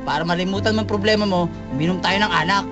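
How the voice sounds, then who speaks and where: A man's voice: sits high, quick pace, muffled recording, lightly acted. A man playing a scheming, lecherous suitor who coaxes slyly and insistently in a comic radio drama. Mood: sly persuasion. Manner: sly, insistent coaxing. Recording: radio broadcast with background music.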